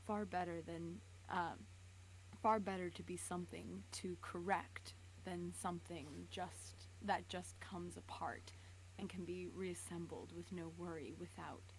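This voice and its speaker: A woman's voice: lowish voice, fairly even intonation, unhurried, rough recording. A woman narrating fan fiction dramatically on a live stream, stumbling over words and dropping into casual asides. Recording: clear background noise.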